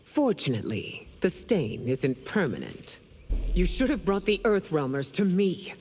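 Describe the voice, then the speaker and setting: A woman's voice: lowish voice, very animated, measured pace, darkish tone, breathy edge, heavily acted. A woman playing a proud, stern empress in a fantasy video game, speaking in a regal and formal manner. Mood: composed, regal. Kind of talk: video game dramatic acting. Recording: long hall reverb, music behind.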